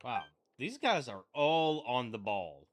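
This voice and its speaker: A man's voice: mid-range voice, sing-song, takes it slowly, a crowd in the background. A man giving casual commentary while playing a video game. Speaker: gaming streamer.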